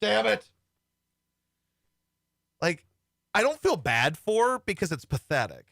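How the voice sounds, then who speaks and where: A man with a bright, fairly high voice hosts a talk show. He rambles bluntly and swears freely, sounding close and dry on a studio microphone. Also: very animated, slow, creaky, no echo. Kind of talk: opinionated show banter.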